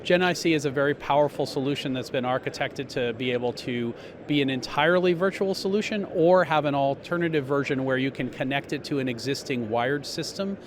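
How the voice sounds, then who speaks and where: A man giving a measured, technical explanation as a company spokesman in a trade show interview. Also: mid-range voice. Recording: noisy trade show floor.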